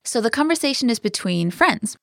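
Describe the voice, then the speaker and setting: A woman hosts a language lesson in an upbeat, teacherly way. Her voice sits a little high and moves with lots of melody. The sound is dry and close, straight from a studio mic. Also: big pitch swings, fairly quick, vocal fry, no echo. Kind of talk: scripted lesson dialogue.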